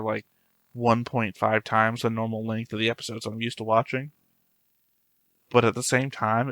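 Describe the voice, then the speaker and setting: A man's voice: on the low side, a bit bright, no echo. A man giving casual off-the-cuff commentary as a reaction host, with a dry, analytical way of talking.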